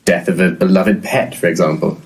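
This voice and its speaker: An actor playing a shifty, blunt, deadpan paranormal investigator in a comic audio play. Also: male voice, deep voice, a little fast, natural voice. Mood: probing. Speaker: actor in an audio comedy drama. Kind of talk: audio play dialogue, dry comedy.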